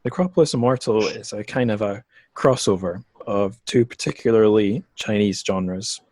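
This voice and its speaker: A man from Scotland with a notably low voice hosts a casual podcast interview. He feels his way through sentences, rephrasing as he goes. The sound is dry, with very little room in it. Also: normal speed, a little mellow, dry recording. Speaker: podcast host.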